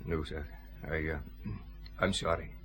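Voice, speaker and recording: An actor playing a deferential police sergeant in a radio drama, with a hesitant, apologetic manner. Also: male voice, a bass voice, steady tone, a little slow, a bit bright. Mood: sheepish, uneasy. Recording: faint background noise, ambience.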